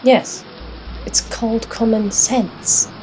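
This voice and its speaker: The rebel heroine who narrates a fantasy radio serial, wry, defiant and high-voiced over faint noise a woman acting. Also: steady tone, slow. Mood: dry. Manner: wry, assertive. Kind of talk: first-person narration and audio drama acting.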